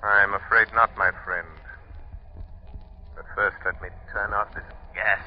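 A slow-spoken, menacing male avenger played by a man in a vintage radio drama. He sounds grandly ominous and mocking, on a muffled old broadcast recording. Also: lowish voice, fairly even intonation, loud music behind the voice, close to an everyday voice. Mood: mocking triumph. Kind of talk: 1930s radio drama acting.

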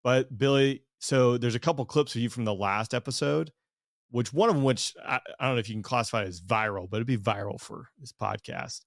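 Male host, mid-pitched voice, dry close sound, loose rambling delivery. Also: fairly quick, gravelly, no room sound. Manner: rambling, lots of fillers and self-corrections. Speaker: podcast host. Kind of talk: casual podcast chat.

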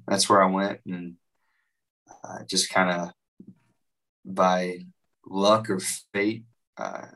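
A man's voice: sits low, fairly bright tone, recorded from a distance, low-quality recording. A young man from West Virginia with a West Virginian accent, chatting casually as a musician guest, rambling with lots of likes and you-knows. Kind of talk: casual interview chat.

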